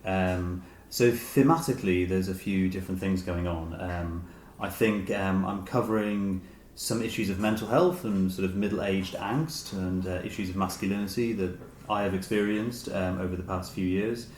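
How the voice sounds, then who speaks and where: A man's voice: sits low, normal speed, darkish tone. A man in his late forties giving a reflective, hesitant interview, thinking aloud as he goes. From Dublin, Ireland. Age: late 40s. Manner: hesitant, thoughtful, hedging with "sort of". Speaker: interview guest, short story writer. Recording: roomy echo, noticeable background hiss.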